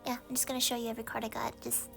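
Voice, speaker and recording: A woman's voice: fairly high pitch, monotone, racing, vocal fry, music in the background. A young woman from Texas, chatting casually through an unboxing with lots of fillers, sounding a little congested. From Texas, USA. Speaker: vlogger.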